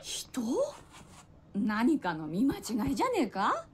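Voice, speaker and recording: A woman's voice: a little high, normal speed, a little hiss or hum. A woman playing a bubbly, folksy innkeeper with a rural Japanese dialect in a visual novel, heard as game audio in a livestream. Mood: puzzled, reassuring. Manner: animated, folksy.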